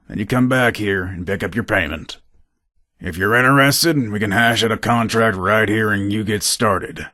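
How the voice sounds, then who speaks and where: A shrewd male gun trader and robber from a video game, played by a male actor. His voice sits a little low and moves up and down a lot as he makes his pitch. He is casual and businesslike, a hard bargainer, and the recording is close and dry. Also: fairly bright tone, no room sound. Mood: hard bargaining. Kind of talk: video game character dialogue.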